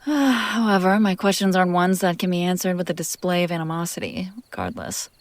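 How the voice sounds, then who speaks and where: Low-voiced, possessive demon woman, acted by a woman. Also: expressive, no room sound, natural voice. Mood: puzzled, exasperated. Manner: teasing, theatrical, drawn-out phrasing dropping to whispers. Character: possessive, teasing demon woman who bought a captive. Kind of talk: scripted intimate audio roleplay.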